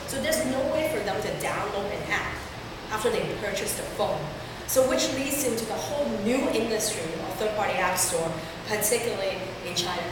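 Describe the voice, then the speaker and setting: A woman from Hong Kong giving a conference talk in a chatty way, with many fillers and restarts. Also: on the high side. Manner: conversational, filler-heavy presenting. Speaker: conference speaker. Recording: echoey hall from far off, with noise.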